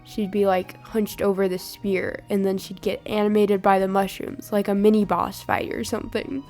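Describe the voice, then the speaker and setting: A young woman, hoarse from a sinus infection, chatting upbeat in a voiceover about her drawing. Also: medium pitch, a little mellow. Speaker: art channel host. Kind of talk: voiceover over drawing footage.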